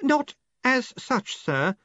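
A man's voice: very high voice, a little fast, fairly bright tone, no room sound, highs cut off, pitched above the performer's own voice. A man voicing a patient, long-suffering male wizard's assistant in an audiobook, polite and hesitant. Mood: strained patience. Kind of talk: audiobook character voice.

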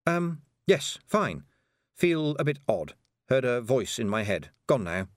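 A man's voice: mid-range voice, very animated, slow, dry recording, studio quality. A man voicing a troubled, resentful young witch girl in a storybook reading. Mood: shaken, halting.

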